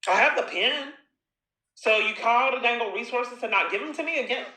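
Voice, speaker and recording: Bright-voiced woman, accusatory and insistent, echoey room. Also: on the high side, normal speed. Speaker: caller recording her own phone call. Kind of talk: confrontational phone conversation with long monologues.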